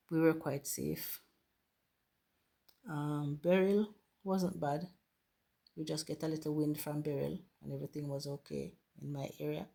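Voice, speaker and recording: Jamaican woman, hoarse with a flu, husky and unhurried, chatty gardening host thanking her viewers. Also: sits low. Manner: warm, grateful, addresses viewers as guys. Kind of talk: casual chat to viewers while planting. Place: home garden.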